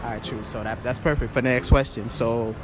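A man's voice: medium pitch, fairly even intonation, background noise. A young man hosting a casual street interview in a shopping mall, asking questions in a slangy, upbeat way and speaking into a phone. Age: young adult. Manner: slangy, upbeat, quick back-and-forth. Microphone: phone held to mouth.

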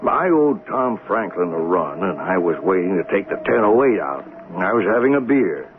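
An actor playing a folksy, observant train conductor in a 1970s radio mystery, musing and plain-spoken. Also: male voice, low voice, very animated, normal speed. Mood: reflective. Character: folksy, observant commuter-train conductor telling a murder tale. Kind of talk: 1970s radio drama acting, narration and dialogue. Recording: noisy phone-like old radio broadcast.

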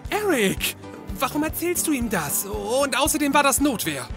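An actor playing an anxious, naive, put-upon young detective's assistant in a comedy audio drama, whiny and flustered. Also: male voice, very high voice, very animated, moderate pace, creaky, music in the background, exaggerated voice. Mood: protesting.

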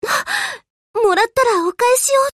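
A playful, affectionate anime girl from a game. The voice is extremely high and girlish, delivered at an unhurried pace. It sounds close and dry. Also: dry recording. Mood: touched, shy. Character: young anime warship girls, playful, earnest, affectionate. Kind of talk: Japanese anime game character voice lines.

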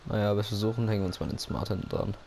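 A man chats loosely over his gameplay, rambling and joking to himself. His voice is low with a gravelly fry. Steady noise runs under the recording. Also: rapid-fire. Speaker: gaming YouTuber. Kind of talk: casual let's-play commentary.

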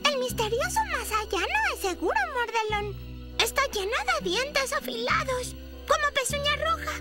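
Cartoon role: sweet little girl dinosaur, animated child voice, loud music behind. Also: extremely high pitch, moderate pace. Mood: concerned, reassuring. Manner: childlike, repeats words for emphasis. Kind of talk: Spanish-dubbed children's cartoon acting.

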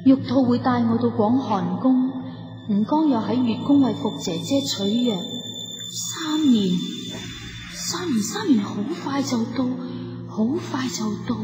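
A woman's voice: on the high side, unhurried, natural voice. A woman acting a troubled, dreamy young wife in a Hong Kong Cantonese radio drama. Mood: dreamy resolve. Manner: hesitant, distracted. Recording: reverberant, music clearly behind.

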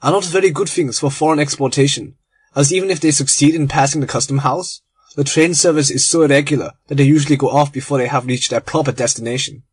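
A man's voice: on the high side, steady tone, quick pace, breathy edge, dry recording. A man doing a genial little German bomb-maker. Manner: cheerful, effusive, courteous.

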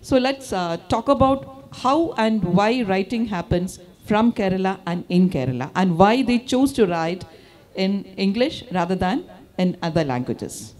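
A woman's voice: mid-range voice, expressive, unhurried, darkish tone. A middle-aged woman on an outdoor stage moderating a literary panel into a handheld microphone, hesitant with many fillers.

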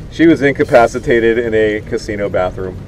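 A man's voice: medium pitch, background noise. A man, chatting casually and dryly as host of a sailing vlog. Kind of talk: casual chat. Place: sailboat, open deck and wooden cabin.

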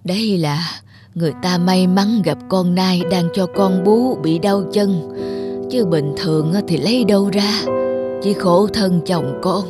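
An actress playing a weary, sick old peasant mother in an animated folk tale, plaintive and slow. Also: on the low side, natural voice. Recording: music behind the dialogue.

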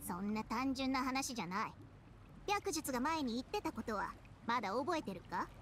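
A grave, blunt snake spirit. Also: female voice, on the high side, animated, normal speed, fairly bright tone, a little hiss or hum. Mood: solemn, blunt. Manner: blunt, grave, matter-of-fact. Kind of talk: Japanese anime game acting. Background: background music.

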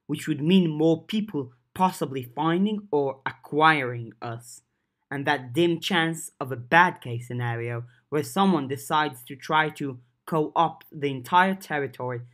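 A narrator plays a mysterious, composed female spirit in an audiobook. She speaks formally and at length, in measured phrases, advising her young allies. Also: medium pitch, fairly bright tone.

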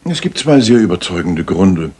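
An actor playing a keen, precise, authoritative gentleman detective in a German radio drama from the 1980s. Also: deep voice, big pitch swings, moderate pace, slightly rough, full character voice. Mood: commanding. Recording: slightly noisy retro radio recording.

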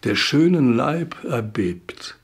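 Husky, creaky man reciting poetry slowly. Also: mid-range voice, lively intonation. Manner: deliberate, phrase by phrase with weighted pauses. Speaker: poetry reader.

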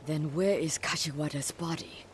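A woman's voice: deep voice, normal speed, slight background noise, close to an everyday voice. An actress voicing an older samurai noblewoman in a video game, grim, bitter and commanding. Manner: stern, clipped, commanding. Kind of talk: dramatic game dialogue.

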